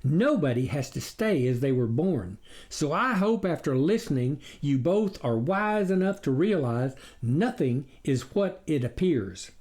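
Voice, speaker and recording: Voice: mid-range voice, expressive, unhurried, darkish tone, lightly acted. A reader voicing a sly wolf-like stranger in a storybook satire, sweet-talking and preaching with indignant persuasion. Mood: preachy.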